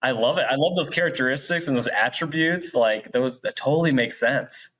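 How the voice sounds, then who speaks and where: A man with a mid-pitched voice hosts a casual interview. He is effusive and affirming in how he talks, keeping the style conversational as he puts questions to guests. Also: fairly quick, a bit bright. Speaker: interviewer, host.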